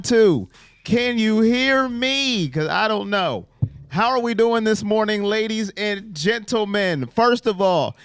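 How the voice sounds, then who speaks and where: A man hosting a live sports stream, chatting and teasing his viewers, his voice hoarse from yelling. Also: high voice, dry recording, studio quality. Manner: animated, teasing chat with viewers. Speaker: sports livestream host. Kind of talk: live fan pregame chat.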